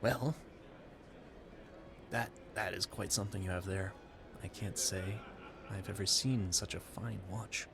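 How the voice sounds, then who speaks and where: Man acting as creaky, flustered gentleman admirer. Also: fairly low pitch, measured pace, pauses often, noisy background. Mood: awestruck. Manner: effusive, rambling, stammering, formal yet awkward. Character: polite, flustered gentleman guest at a Gilded Age ball. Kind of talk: audio roleplay addressed to the listener.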